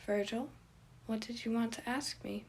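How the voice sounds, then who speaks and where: A woman voicing a composed, wry older male guardian in a story, with formal, measured, teasing phrasing. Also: a little high, steady tone, darkish tone, voice pushed up, lightly acted.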